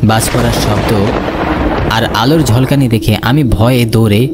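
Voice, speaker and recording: A man voicing a dutiful young village night watchman in a first-person horror story, expressive and suspenseful. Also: mid-range voice, normal speed, heavy background noise, natural voice. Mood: uneasy, suspenseful.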